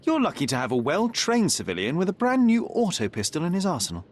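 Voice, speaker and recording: An actor playing a young, self-assured detective in a video game, with a dry, precise manner. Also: male voice, high voice, huge pitch swings, fast, heavily acted. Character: young, clever, aloof male detective.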